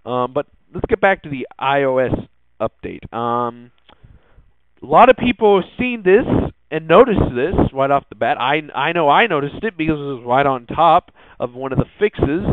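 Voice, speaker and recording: A man hosting a podcast in a casual, hesitant way, often halting and repeating his words. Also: a little high, sing-song, no room sound. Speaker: podcast host. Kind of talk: casual solo tech podcast talk. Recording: muffled, phone-like narrow-band audio.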